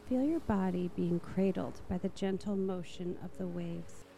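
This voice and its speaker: A woman narrating a guided meditation in a soft, slow, soothing manner. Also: on the low side, creaky, faint music behind.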